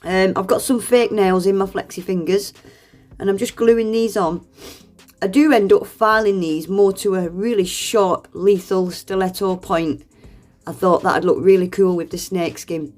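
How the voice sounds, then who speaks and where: A woman's voice: medium pitch, fairly even intonation, measured pace, a little mellow, soft background music. A woman, congested with a cold, chatting through a nail art tutorial voice-over in a friendly, self-deprecating way and laughing often.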